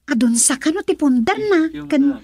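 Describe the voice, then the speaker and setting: A woman's voice: sits high, expressive, moderate pace, gravelly, darkish tone. A woman playing a nosy, teasing friend who loves gossip in a radio drama, with an Ilocano accent. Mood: gossipy.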